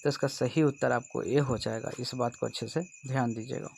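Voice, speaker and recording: A man's voice: medium pitch, fairly even intonation, brisk, gravelly, a little mellow, a little hiss or hum. A man from India with a Bihari accent, speaking as a computer teacher in an explanatory exam-prep lesson, instructive and often checking that students follow. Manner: instructive, repeatedly checks understanding.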